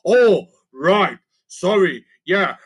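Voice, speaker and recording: A man's voice: sits high, lively intonation, very slow, a bit bright, husky, voice pushed up, exaggerated voice. A man voicing a sly little creature that talks in broken, growly speech in a children's story. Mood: mischievous. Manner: growly creature voice with odd grammar. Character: sly male creaker creature, gruff and cheeky.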